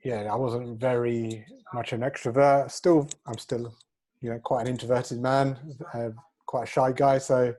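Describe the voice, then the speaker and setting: A man's voice: mid-range voice, level tone, unhurried, darkish tone. A man around forty with a London accent, giving casual, modest, self-effacing answers as an interview guest. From North London, England. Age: 30s or 40s. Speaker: interview guest, fitness coach.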